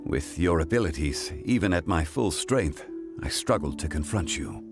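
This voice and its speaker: A low-voiced, dignified ancient god. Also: male voice, expressive. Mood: solemn, calm. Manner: formal, eloquent, measured speech. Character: ancient composed male god of contracts, dignified and measured. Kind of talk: scripted video game dialogue. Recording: game audio with music behind. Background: background music.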